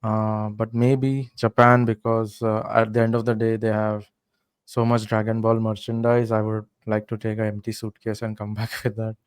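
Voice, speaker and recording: A young man from India, a podcast guest, telling travel stories in relaxed casual chat with many fillers. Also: fairly low pitch, normal speed, clean tone, dry recording.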